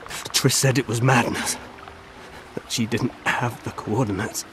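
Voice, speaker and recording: Slow, dying, haunted elf seer played by a man. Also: mid-range voice, fairly even intonation, background noise, lightly acted. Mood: weary recounting. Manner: slow, halting, labored. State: weak from fatal wounds, as acted. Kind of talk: video game voice acting.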